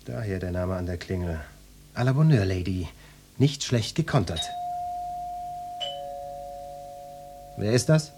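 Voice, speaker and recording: An actor playing a cocky, macho police detective in a radio play, brusque and swaggering, with a flirtatious streak. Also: male voice, low voice, expressive, normal speed, noisy background. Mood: commanding. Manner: brusque, swaggering, mock-official.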